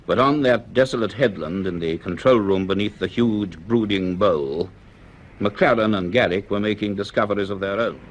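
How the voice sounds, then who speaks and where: An actor playing a grave, cultured middle-aged professor in a vintage radio drama, speaking in a measured, dramatic way. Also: male voice, fairly low pitch, close to an everyday voice, low-quality recording. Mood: ominous, reflective. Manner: measured, deliberate, dramatic delivery. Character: grave, cultured male professor narrating his dreadful discovery.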